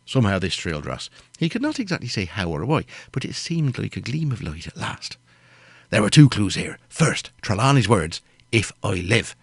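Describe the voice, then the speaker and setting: A man's voice: on the low side, sing-song, normal speed, creaky, slightly rough, no room sound. A man narrating a gothic audio drama in a scripted storytelling style.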